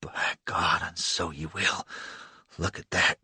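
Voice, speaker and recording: A man voicing a brutish, leering slave catcher with a coarse, gruff drawl in an audiobook. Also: low-pitched, steady tone, slow, pushed and bright, no room sound, clean studio sound, voice pushed down, heavily acted.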